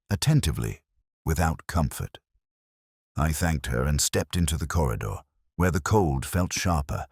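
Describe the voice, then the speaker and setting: A weary, contemplative male inspector played by a man narrates a detective story in a very deep voice. The delivery is solemn, literary and measured, yet the pitch moves expressively. The recording is dry, with no room around the voice. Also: slightly rough, no room sound, exaggerated voice. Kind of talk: scripted first-person detective narration.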